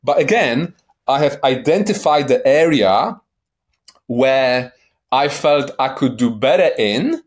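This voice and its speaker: A man's voice: medium pitch, expressive, takes it slowly. A man in his forties with a light Polish accent and a mid-range voice, speaking as a podcast guest. He talks bluntly and emphatically, drops swear words for punch, and keeps checking in with his listener. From Poland. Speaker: guest life coach. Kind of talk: podcast interview.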